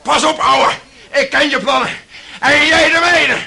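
Radio play role: obsessed, violent hothead son, very high, piercing and forceful, shouting urgently. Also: male voice, steady tone, a little slow, creaky, exaggerated voice. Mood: furious, threatening. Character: violent, frantic son, obsessed and hot-tempered. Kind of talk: radio drama acting.

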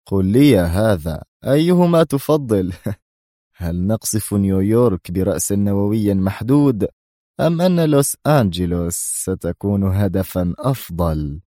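A man's voice: low voice, very animated, normal speed, a little mellow, dry recording, clean studio sound. Man playing a confident, taunting traitor commander. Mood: mocking, gloating. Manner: self-assured, taunting. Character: confident, defiant air force commander turned traitor.

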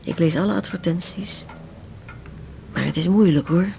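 Older widow: lonely and brooding, gravelly, halting delivery, radio drama, faint noise behind. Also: female voice, on the low side, warm tone. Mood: troubled, melancholy. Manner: halting, confiding, trailing off. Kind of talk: naturalistic Dutch radio drama acting.

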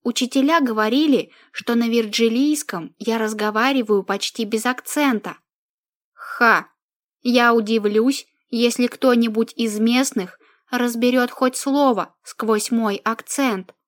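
Slow-reading female audiobook narrator. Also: fairly high pitch. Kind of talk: first-person fiction narration.

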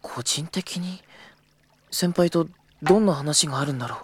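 A man's voice: a little high, normal speed, slightly rough, dry recording. Young male tour guide, polite, hesitant, easily flustered, audio drama. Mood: pensive. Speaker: tour company employee.

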